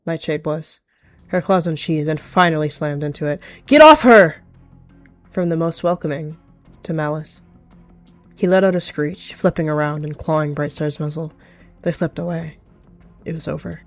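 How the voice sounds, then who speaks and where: A woman's voice: low-pitched, little pitch movement, moderate pace, no echo. A woman giving dramatic third-person narration for an animal roleplay story, with music behind her.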